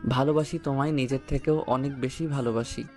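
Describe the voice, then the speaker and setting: A man's voice: mid-range voice, steady tone, a little fast, darkish tone, faint music behind, close to an everyday voice. Man playing a devoted romantic young husband. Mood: tender.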